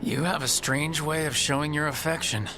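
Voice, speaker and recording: Cool, wry game hero. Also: male voice, on the high side, moderate pace, pushed and bright, soft background music. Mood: wry, challenging. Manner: direct, dry. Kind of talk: dramatic video game acting.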